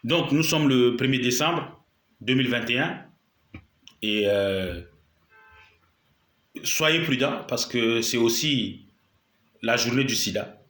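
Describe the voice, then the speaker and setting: A man's voice: medium pitch, slow pace, pauses often, a bit bright, roomy sound. A man with a cold and a sore throat, giving an indignant video statement and repeating his points for emphasis. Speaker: political commentator.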